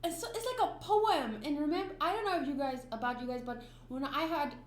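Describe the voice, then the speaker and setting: A woman's voice: sits high, expressive, brisk. Rambling woman in a roomy space. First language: Afrikaans. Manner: rambling, emphatic, many restarts and fillers. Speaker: anime reaction YouTuber. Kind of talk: casual reaction commentary. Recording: roomy echo with faint hum.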